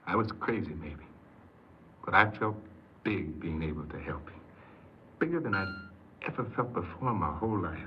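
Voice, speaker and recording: An actor playing a desperate, earnest ex-convict in a 1950s American radio crime drama, pleading and hesitant, often repeating himself. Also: male voice, low voice, animated, normal speed, long pauses. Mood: subdued confessing. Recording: muffled, hissy 1950s radio recording.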